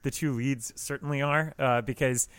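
A man's voice: medium pitch, steady tone, moderate pace, a bit bright, clear, resonant tone, no room sound. A man co-hosting a podcast, chatting casually about film in a rambling, wry way with some swearing. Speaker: podcast co-host.

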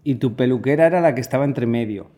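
A man's voice: medium pitch, fast talker, dark tone. A man hosting a casual interview, asking questions in a playful, teasing way. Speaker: host.

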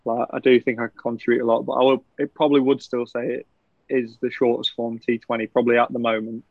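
A man's voice: mid-range voice, little pitch movement, quick pace, gravelly, dry recording. A young man, a professional cricketer, chatting casually and laughing often in a podcast interview. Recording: dull, lo-fi, dry sound.

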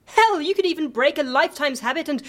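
An actress playing a long-suffering, sceptical assistant in an audio comedy, with a dry, sarcastic manner. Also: female voice, sits high, lively intonation, fast, a bit bright. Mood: exasperated, brisk. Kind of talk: audio fiction comedy acting.

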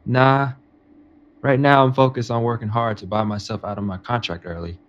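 An actor playing a confident, ambitious nineteen-year-old estate aide in a modern audio drama, speaking respectfully and earnestly. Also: male voice, mid-range voice, steady tone, normal speed, muffled recording, lightly acted. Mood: polite, guarded. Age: young adult. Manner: respectful, earnest, self-assured.

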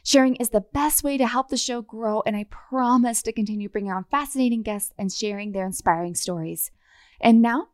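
A woman hosting a casual podcast interview, with an effusive, informal way of talking. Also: on the high side. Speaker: podcast host.

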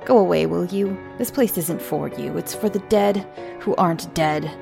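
A woman's voice: fairly low pitch, moderate pace, vocal fry, music in the background. A woman voicing a crazed, bitter old woman in her seventies or older who rambles about the dead. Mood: eerie, brooding. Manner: rambling, fixated.

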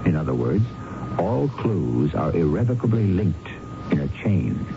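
A man hosting a radio mystery series, giving theatrical, measured narration straight to the listeners. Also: low voice, big pitch swings, creaky. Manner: measured, dramatic storyteller addressing listeners. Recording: dull vintage radio broadcast with music.

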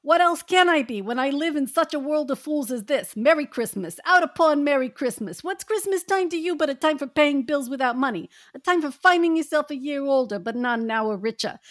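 A woman's voice: high voice, dry recording, voice pushed up. Cast a woman as a stingy, sour old man, sneering, in a dead-dry read. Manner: scornful, snapping.

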